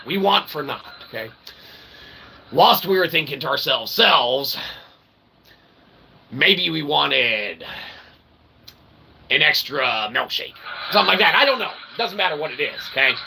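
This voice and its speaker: A man's voice: fairly high pitch, animated, unhurried, vocal fry, pauses often, pushed and bright, slightly rough. A man hosting a rambling comedic livestream in a self-deprecating mock-showman manner, often tagging lines with questioning little catchphrases. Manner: repeats tag phrases like "okay?" and "eh?". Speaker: livestream host.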